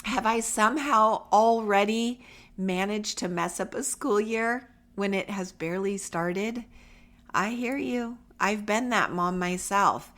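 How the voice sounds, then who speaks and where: A woman's voice: medium pitch, slow, fairly bright tone. Reassuring woman podcaster getting over a cold. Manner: reassuring, conversational, emphatic. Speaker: podcast host for homeschool parents. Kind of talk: encouraging solo podcast talk, addressing listeners directly.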